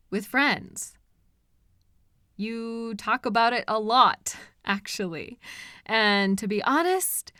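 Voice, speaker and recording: A woman playing a possessive, sweetly menacing stalker in an intimate roleplay audio drama, coaxing softly with lingering pauses. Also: on the high side, no room sound, clean studio sound, heavily acted. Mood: wistful, pointed.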